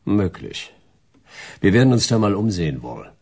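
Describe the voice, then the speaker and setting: A man playing a laconic, sceptical hard-boiled detective in a radio play, speaking in terse, deadpan fragments. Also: low voice, normal speed, gravelly, breathy edge, no room sound, studio quality, exaggerated voice. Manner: terse, deadpan, clipped sentences. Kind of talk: noir radio play narration and dialogue.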